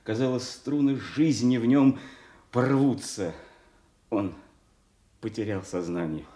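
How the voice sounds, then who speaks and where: A man playing a sorrowful noble lord in a radio tragedy, delivering verse in a measured, solemn way. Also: medium pitch, warm tone, reverberant, close to an everyday voice. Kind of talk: classical stage tragedy acting for radio.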